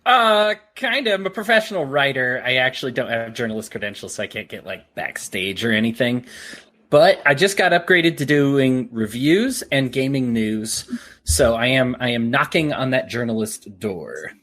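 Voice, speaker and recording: This is a male podcast co-host who works as a writer and explains in a steady, upbeat manner. His voice has a medium pitch. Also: moderate pace. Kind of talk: casual chat.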